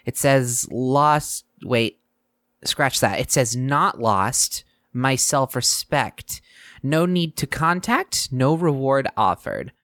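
An actress playing a sardonic, reluctant young student hosting a news show in an audio drama, rambling and exasperated. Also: female voice, a bass voice, animated, slow, a bit bright, no echo, clean studio sound, exaggerated voice. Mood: dry, scornful. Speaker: podcast news host character.

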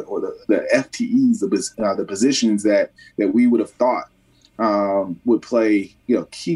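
Halting man, slightly distant mic. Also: lowish voice, darkish tone, husky. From Columbia, South Carolina. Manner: halting, many fillers and restarts. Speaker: mayoral candidate. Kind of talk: interview answers. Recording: voice somewhat off mic.

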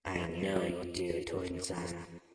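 Man voicing an eerie, slow, echoing enigmatic stranger. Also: low voice, monotone. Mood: calm, eerie. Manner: slow, measured, formally polite. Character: enigmatic unseen male music teacher, eerie, calm, softly smiling. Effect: heavy reverb. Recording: long echoing reverb.

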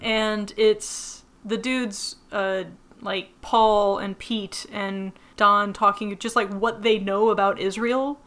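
A woman's voice: mid-range voice, level tone, slow, a bit bright. An American woman co-hosting a podcast, chatting casually about a TV episode with many fillers and frequent laughs. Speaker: podcast co-host. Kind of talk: casual chat recapping a TV episode.

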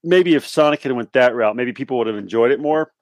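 A man's voice: medium pitch, quick pace, no echo, studio quality. A man taking part in a casual podcast chat, thinking aloud in a hesitant, conversational way. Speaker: podcast guest guessing along.

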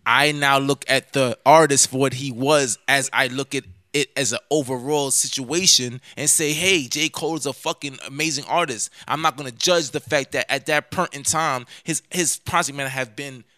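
A man's voice: medium pitch, fairly even intonation, normal speed, hardly any pauses, sharp tone, no room sound, studio quality. A nineteen-year-old man co-hosting a podcast, arguing emphatically and swearing in casual chat. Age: late teens. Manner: argumentative, profane, emphatic. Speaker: podcast co-host.

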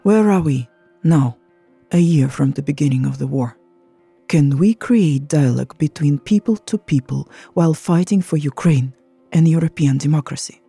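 A woman from Russia co-hosting a podcast, introducing guests and asking measured questions. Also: deep voice, vocal fry, dark tone, husky, no echo, faint music behind. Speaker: podcast co-host, journalist.

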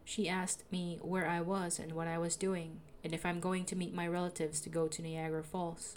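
A young woman with a soothing voice, calmly narrating a personal audio diary as a podcaster. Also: fairly low pitch, moderate pace, a little hiss or hum. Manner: calm, reflective, confessional.

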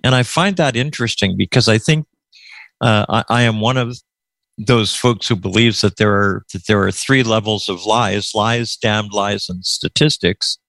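A man hosting a panel discussion, speaking in a measured, wry way. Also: fairly low pitch, vocal fry, a bit bright, no echo. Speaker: host.

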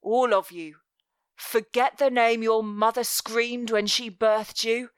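A menacing master of a thieves' guild, acted out by a woman reading an audiobook, speaks slowly and with total command. He is cruel and deliberate, coating threats in mock tenderness. The sound is dry, with no room around it. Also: on the high side, steady tone, clear, resonant tone, dry recording. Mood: harsh, commanding. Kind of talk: dramatic audiobook character voice.

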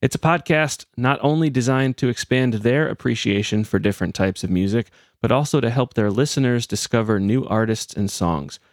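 A man's voice: mid-range voice, normal speed, hardly any pauses, no room sound, studio quality. A man hosting an interview podcast, moving between scripted introduction and questions. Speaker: podcast host.

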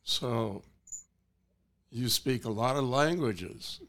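A man's voice: mid-range voice, slow, a bit bright. A man interviewing a guest with short, conversational questions. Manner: brief, conversational prompts.

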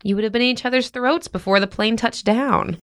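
A woman acting a patient, sensible mother who comforts with gentle firmness in a modern audio drama. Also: on the high side, brisk, close to an everyday voice. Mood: reassuring, firm. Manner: soothing, reassuring, gently firm.

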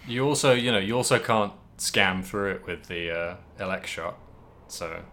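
A man's voice: low voice, moderate pace, pushed and bright, slight background noise. A man co-hosting a podcast, talking casually about video games with wry, mock-dramatic phrasing and casual swearing. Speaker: podcast co-host. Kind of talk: casual video game discussion.

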